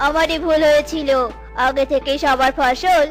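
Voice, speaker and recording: A woman's voice: high voice, fairly even intonation, normal speed, faint music behind, close to an everyday voice. A woman voicing a stern eagle village chief in a cartoon, commanding and scolding.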